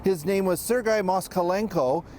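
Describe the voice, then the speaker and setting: Male news correspondent, high-pitched voice, halting unscripted live delivery, frequent hesitations, faint background noise. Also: a little mellow.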